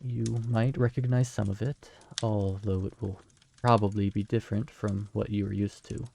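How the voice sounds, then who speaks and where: A man playing a calm, patient storyteller telling a bedtime tale in a fantasy audio drama. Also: lowish voice, moderate pace, creaky, a little hiss or hum.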